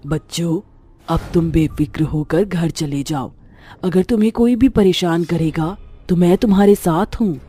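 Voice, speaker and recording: A woman's voice: lowish voice, unhurried, mellow, husky, soft background music, voice pushed down. A woman voicing a fierce, protective witch mother, a vengeful ghost with a menacing, aggrieved manner, in an audio horror story. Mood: reassuring, protective.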